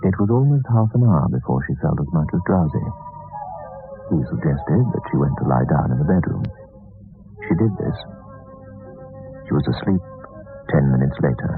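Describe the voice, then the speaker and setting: A grave narrator tells a suspense story in an extremely deep voice. It is old radio drama, thin in bandwidth, with music playing underneath. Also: male voice, very animated, normal speed, pauses often, narrow, tinny audio. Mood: grave, suspenseful.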